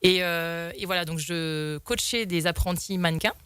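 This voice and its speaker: A woman's voice: fairly low pitch, normal speed, fairly bright tone, clear, resonant tone, no room sound. A young woman guest telling stories in a talk show, rambling with many fillers and restarts. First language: French. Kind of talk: casual storytelling in an interview.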